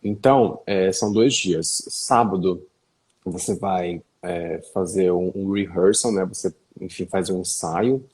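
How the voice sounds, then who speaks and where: Low husky Brazilian man, chatty. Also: measured pace, a little mellow. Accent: Brazilian Portuguese. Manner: chatty, frequent fillers and tag questions. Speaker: guest. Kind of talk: casual interview chat.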